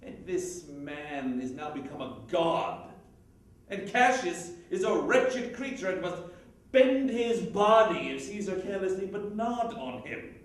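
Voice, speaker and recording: A man's voice: high voice, animated, unhurried, pitched above the performer's own voice. A man playing a bitter, envious, proud Roman in an intense Shakespearean stage monologue. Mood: indignant, impassioned. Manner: intense, declamatory. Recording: very echoey room, distant mic.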